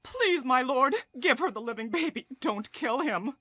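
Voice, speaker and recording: High-voiced, pleading young mother. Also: animated, normal speed, bright, forceful tone, husky, dry recording, clean studio sound, exaggerated voice. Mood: desperate pleading. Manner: pleading, emotional, earnest. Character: distressed young mother pleading before a king. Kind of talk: dramatized scripture reading.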